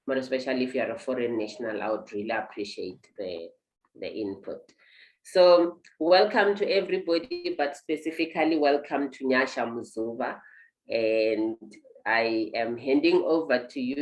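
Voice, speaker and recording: A woman hosting an online interview, talking at length and gently encouraging her guest. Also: a bass voice, echoey room, low-quality recording. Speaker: host.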